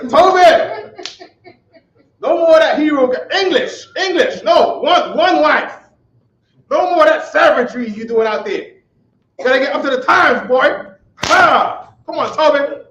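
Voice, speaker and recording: Cruel, mocking slave master, voiced by a man, high and sneering, muffled lo-fi sound. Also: expressive, a little slow, a bit bright, heavily acted. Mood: taunting. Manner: taunting, barking orders. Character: harsh mocking male slave master, adult. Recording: echoey, distant, muffled.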